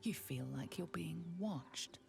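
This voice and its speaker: An ominous storyteller for a fantasy game, speaks low with a gravelly edge. The delivery is slow, composed and dramatic over music. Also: female voice, lively intonation, a little mellow, full character voice. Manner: measured, dramatic. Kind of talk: scripted second-person game narration.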